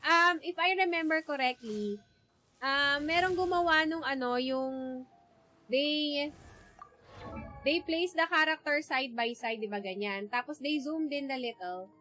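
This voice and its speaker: A woman's voice: sits high, measured pace, pushed and bright, poor audio. A woman with a Filipino accent chatting casually on a game livestream. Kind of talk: casual livestream chat, mixing English and Tagalog. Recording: game music behind the voice. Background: video game music.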